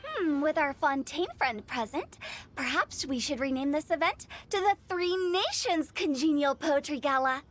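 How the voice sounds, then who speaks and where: A woman playing a cheeky, exuberant young funeral parlor director in a video game, theatrical and brisk like a master of ceremonies. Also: high voice, animated, a bit bright, a little hiss or hum. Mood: cheerful, organizing. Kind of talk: English video game voice acting.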